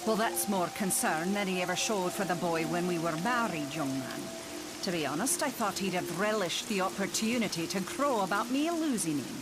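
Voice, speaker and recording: A woman playing a brisk, acerbic middle-aged doctor in a motion comic. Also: lowish voice, lively intonation, loud music behind the voice, lightly acted.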